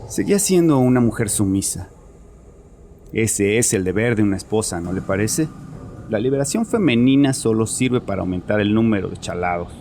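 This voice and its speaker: An actor playing a troubled, chauvinist bereaved father confessing in an audio horror drama, with a haunted, halting manner. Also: on the low side, animated, dark tone, a little hiss or hum. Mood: proud, defensive. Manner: haunted, halting, repeats himself.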